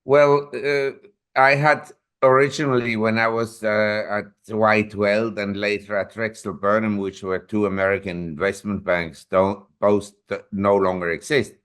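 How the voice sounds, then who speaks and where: Older guy in his 70s, lowish voice, rambles through stories as a guest. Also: little pitch movement, a little slow, a bit bright, clean tone. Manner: rambling, anecdotal storytelling with self-corrections. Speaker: investment newsletter publisher, guest. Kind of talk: interview.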